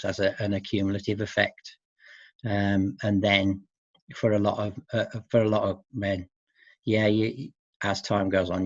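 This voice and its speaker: A middle-aged man speaking as an expert guest in an informal interview, hesitant and halting, often seeking agreement with tag questions. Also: low voice, dry recording. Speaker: expert guest who works with men. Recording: dull, muffled lo-fi audio.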